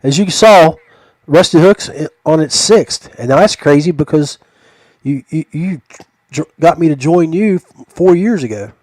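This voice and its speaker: A man's voice: on the high side, measured pace, darkish tone, no room sound, rough recording. A man hosting a casual podcast chat in an enthusiastic, folksy way. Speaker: podcast host.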